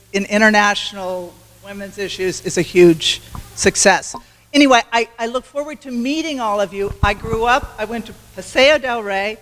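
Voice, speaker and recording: A woman giving a campaign speech to a club meeting, loud and self-assured, with restarts. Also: mid-range voice, big-room sound. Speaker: congressional candidate.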